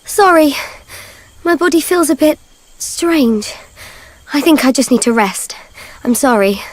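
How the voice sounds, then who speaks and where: An actress playing a gentle, earnest young woman in a video game cutscene, soft-spoken, hesitant and sincere. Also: high voice, expressive, measured pace, no room sound. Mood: weak, apologetic. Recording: loud orchestral string music behind. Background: violin-led game score.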